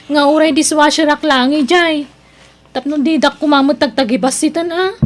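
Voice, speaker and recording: A woman's voice: high voice, natural voice. A woman acting a proud, complaining young niece in an Ilocano radio-style drama, with an Ilocano Filipino accent and a whiny, haughty manner. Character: proud young niece Mira, reluctant new restaurant dishwasher, complaining daughter.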